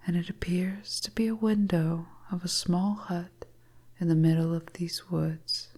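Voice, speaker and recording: Female storyteller, breathy and whispered, low-leaning and very even pitch, hypnotic suspense narration. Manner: slow, hushed, second-person suspense narration.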